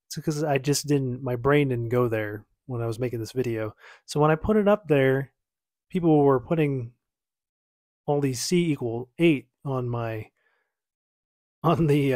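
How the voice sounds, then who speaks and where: A man's voice: medium pitch, a little mellow, no echo, studio quality. A man in his thirties casually reacting to his own old video from a small home office, rambling and correcting himself as he goes. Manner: rambling, self-correcting, easygoing. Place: small home office at night, lamp-lit.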